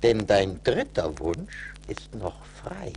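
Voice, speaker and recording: An actor playing a stern but forgiving little forest spirit, solemn and admonishing, in a radio play. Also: male voice, on the low side, wildly swooping pitch, slow pace, gravelly, darkish tone, slight background noise, exaggerated voice. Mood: solemn reproach. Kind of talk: theatrical radio play acting.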